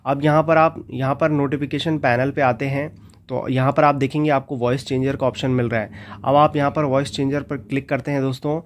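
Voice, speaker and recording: A man's voice: medium pitch, a little fast. A man hosting a casual step-by-step tech tutorial, often addressing his viewers as friends. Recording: steady background noise and ambience.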